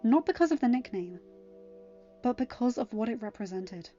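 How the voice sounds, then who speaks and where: A woman's voice: a little high, lively intonation, normal speed, dark tone. A woman narrating a story from a script, as in an audiobook. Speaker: podfic narrator. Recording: dull lo-fi home recording, music underneath. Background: music.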